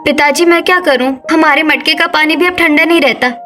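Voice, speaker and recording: A woman's voice: sits high, fairly quick, bright, forceful tone, faint music behind. A woman voicing a harried young village daughter-in-law in a cartoon, plaintive and scolding.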